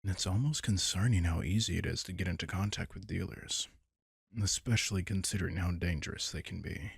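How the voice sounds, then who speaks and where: A man playing a caring, wry vampire king in an intimate comfort roleplay audio drama, talking casually and softly with dry teasing. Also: deep voice, brisk, vocal fry, slightly rough, no room sound, exaggerated voice. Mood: matter-of-fact, informative.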